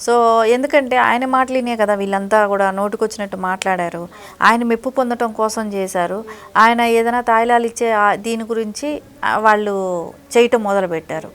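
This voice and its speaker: A woman's voice: on the high side, moderate pace, clear, resonant tone. A woman giving critical, opinionated political commentary as an interview guest, in long conversational explanations.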